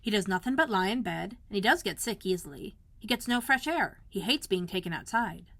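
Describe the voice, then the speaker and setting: A woman's voice: medium pitch, expressive, fairly quick, a bit bright, no echo. Storybook part: easily alarmed young maid played by a woman, gossipy, plain-spoken, fretful, dry close recording. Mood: frank.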